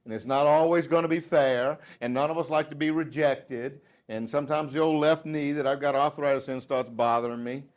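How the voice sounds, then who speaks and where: A man in his fifties with a Southern accent, giving a folksy, joking live speech to a room of salespeople, swinging between loud and hushed. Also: a little high, fairly even intonation, a little fast, hardly any pauses. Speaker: motivational sales speaker. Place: dealership meeting room.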